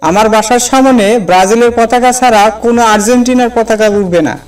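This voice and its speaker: An indignant young cartoon man, pitched extremely high. He blusters and dramatizes like a fanatical football fan. The sound is distant, with faint music behind. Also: quick pace. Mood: scornful. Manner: blustering, melodramatic. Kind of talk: comic cartoon dub.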